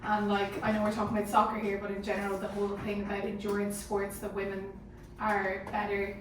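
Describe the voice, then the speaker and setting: Echoey, distant woman with even intonation. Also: mid-range voice, brisk. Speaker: sports team panel member. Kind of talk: casual round-table discussion. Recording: very echoey room, distant mic, faint noise.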